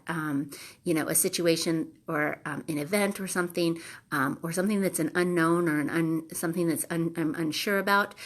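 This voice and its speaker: Congested woman with a low voice. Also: fairly bright tone. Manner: hesitant, many ums and restarts. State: congested, nasal from allergies. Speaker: live video host. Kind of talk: casual motivational talk.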